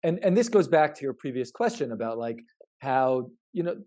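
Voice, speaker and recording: A man explaining his research in podcast conversation, halting and repetitive, often stressing points and checking in. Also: medium pitch, big pitch swings, warm tone.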